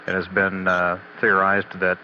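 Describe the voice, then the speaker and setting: Low-voiced man on dull, noisy mission audio. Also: monotone. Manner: halting, measured, matter-of-fact delivery. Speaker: spaceflight mission commentator. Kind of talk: unscripted technical status briefing.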